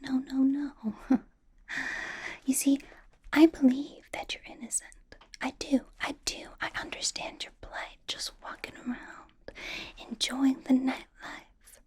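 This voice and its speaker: Casting: woman to play chatty young superheroine interrogator for ASMR roleplay, high but soft and mellow, mostly whispered, playfully suspicious and rambling. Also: flat delivery, slow pace, slightly rough, exaggerated voice. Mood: apologetic, rambling.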